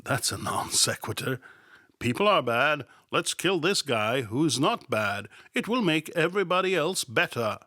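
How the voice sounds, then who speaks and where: A man's voice: fairly high pitch, big pitch swings, measured pace, pushed and bright, dry recording, clean studio sound. A man hosting a satirical Bible commentary podcast, sardonic and mocking, sometimes dropping to a whisper for asides. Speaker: podcast host.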